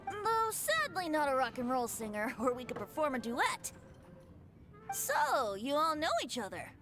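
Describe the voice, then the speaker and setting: Breezy young male bard for a game: high-pitched, very animated, flowery and playful. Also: a little slow, music in the background, full character voice. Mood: delighted, playful. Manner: flowery, theatrical. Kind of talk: game character voice acting.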